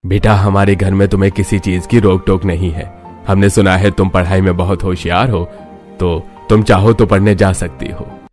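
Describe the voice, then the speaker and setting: A man's voice: deep voice, little pitch movement, moderate pace, a little mellow, natural voice. A man playing a kindly, protective older father-in-law in a cartoon family story. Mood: gentle, reassuring. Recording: music bed under the voice.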